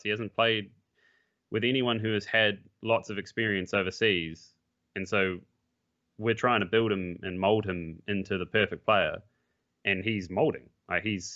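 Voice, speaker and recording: A man's voice: lowish voice, pushed and bright. A man, a pro esports player, talking casually and bluntly as a podcast guest, with frequent restarts and the odd swear. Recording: muffled lo-fi mic.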